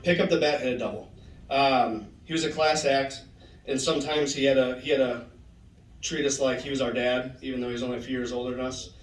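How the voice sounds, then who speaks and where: A man's voice: medium pitch, a little fast. A man giving a heartfelt tribute to a former teammate, telling stories and quoting him. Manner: storytelling, animated quoting. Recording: roomy hall, voice from far off.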